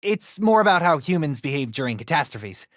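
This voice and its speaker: Casting: excitable teen tech geek, game sidekick; very animated pitch, wisecracking, dry close recording. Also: male voice, fairly quick, no echo. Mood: casual, musing. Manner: casual, wisecracking, expressive. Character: nerdy, excitable teenage boy, hero's tech-savvy best friend. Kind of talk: video game voice acting.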